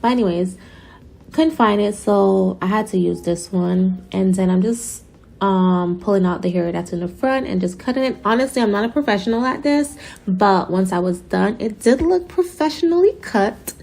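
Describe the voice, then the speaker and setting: A young woman with a raspy, tired late-night voice, gushing casually through a wig review voiceover. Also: mid-range voice, normal speed, darkish tone, echoey room. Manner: chatty, gushing, says "you guys" often. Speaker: beauty vlogger. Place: home at night, sirens outside.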